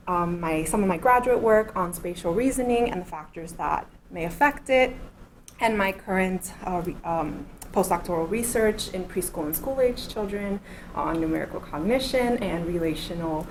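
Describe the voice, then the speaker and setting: A woman's voice: on the low side, a little hiss or hum, low-quality recording. A woman in her thirties from Miami, a postdoctoral researcher giving an academic talk. She explains her points step by step and works through them in order. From Miami, United States. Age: 30s. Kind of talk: academic lecture. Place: lecture room.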